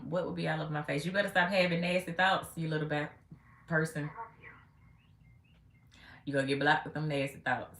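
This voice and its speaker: A woman's voice: sits low, sharp tone. A woman in her late thirties hosting a casual livestream, speaking sassily and talking back to her viewers' comments. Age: 30s. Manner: sassy, talks back to commenters. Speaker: livestream host. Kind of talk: casual live chat with viewers. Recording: echoey room with faint ambience.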